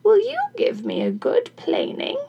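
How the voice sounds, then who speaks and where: A woman voicing an eager, stubborn, envious old crone in a folktale, with drawn-out, insistent exclamations. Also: very high voice, wildly swooping pitch, vocal fry, dark tone, highs cut off, pitched above the performer's own voice, full character voice. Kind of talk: folktale character voice in storytelling.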